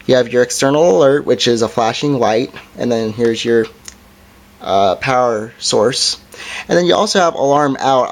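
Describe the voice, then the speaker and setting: A man with a medium-pitched voice presents a hands-on product review. He talks informally and hesitantly, with an apologetic manner, as he demonstrates features step by step.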